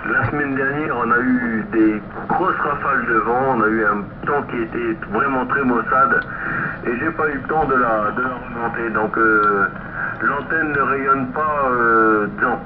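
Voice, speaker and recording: A man's voice: medium pitch, unhurried. A man working as an amateur radio operator, giving an unscripted contact report over the radio in a hesitant, repetitive way. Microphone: radio microphone. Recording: very noisy, phone-like radio transmission.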